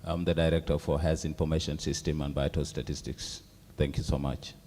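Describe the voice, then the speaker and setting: A man from South Sudan, a health ministry director, giving a measured self-introduction with some hesitation at a meeting. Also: a bass voice, flat delivery, dark tone, long reverb tail, slight background noise. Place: conference hall.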